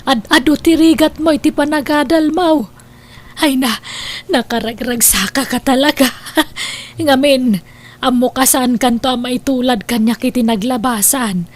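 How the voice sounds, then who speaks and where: Casting: actress as an elderly Ilocano Filipino lola in radio drama, tender and proud, lingering slowly on her words. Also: high-pitched, fairly even intonation. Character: tender, emotional old grandmother, proud of her grandchild. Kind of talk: Ilocano family audio drama acting.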